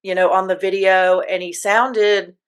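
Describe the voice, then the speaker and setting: A woman's voice: medium pitch, flat delivery, unhurried, clear, resonant tone. A woman hosting a podcast interview, chatty and enthusiastic, often restarting her sentences. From United States. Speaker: podcast host. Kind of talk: casual interview chat.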